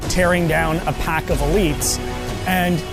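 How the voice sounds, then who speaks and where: Man explaining over loud music. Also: a little high, steady tone, a little slow, creaky. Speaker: game developer. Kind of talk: behind-the-scenes interview.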